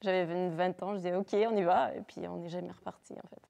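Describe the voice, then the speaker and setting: Canadian circus artist, English native, low mellow gravelly voice, halting answers. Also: female voice, steady tone. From English-speaking Canada, near Montreal. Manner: hesitant, many fillers. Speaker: circus performer and teacher. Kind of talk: interview answers.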